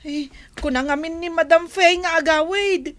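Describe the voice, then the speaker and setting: Woman acting a very shrill, flustered housemaid. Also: normal speed, a bit bright, dry recording. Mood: nervous, pleading. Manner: stammering, exclaiming. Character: flustered, frightened young housemaid, easily cowed. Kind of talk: comedy radio drama acting.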